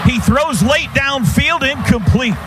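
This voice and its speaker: A man calling live football on radio as the play-by-play announcer, in clipped phrases that build to shouts on big plays, over loud stadium noise. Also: very high voice, animated, takes it slowly. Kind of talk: excited live football commentary. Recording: noisy stadium radio broadcast.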